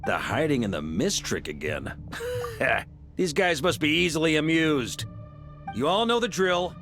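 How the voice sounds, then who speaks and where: An actor playing a bold, easygoing knight commander in a video game, giving commands in a relaxed, friendly way. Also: male voice, on the high side, unhurried, music in the background. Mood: steady command. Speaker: Grandmaster of a knightly order.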